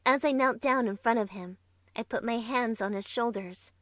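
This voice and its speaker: A woman's voice: fairly high pitch, normal speed, fairly bright tone, no echo, close to an everyday voice. A woman playing an anxious, emotional young survivor, narrating a scripted drama in the first person with earnest feeling. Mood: tense, heartfelt. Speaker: narrator and lead character in an amateur fan dub. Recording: music playing behind the voice.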